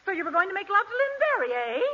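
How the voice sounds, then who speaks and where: A woman's voice: very high-pitched, lively intonation, racing, pushed and bright, muffled recording, exaggerated voice. An actress playing a haughty, easily insulted lady customer in a melodramatic 1940s radio comedy style. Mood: indignant. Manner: indignant, melodramatic. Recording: noisy old 1940s radio recording.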